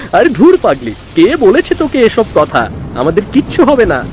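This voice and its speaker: A woman's voice: medium pitch, wildly swooping pitch, a little mellow, slight background noise. A woman voicing a protective, reassuring elder brother, a boy in a Bengali village cartoon. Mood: reassuring, bold. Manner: brisk, reassuring, mildly bossy. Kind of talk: Bengali cartoon story voice acting. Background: music and rain.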